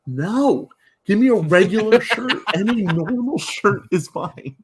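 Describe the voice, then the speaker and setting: A man's voice: sits high, animated, measured pace, husky. A man talking casually as a podcast guest, often repeating his own phrases. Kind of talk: casual chat.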